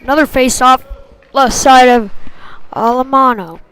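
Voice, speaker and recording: A woman giving live hockey play-by-play in short clipped phrases, excitable on big plays. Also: high-pitched, measured pace, clean tone, poor audio. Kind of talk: live sports commentary.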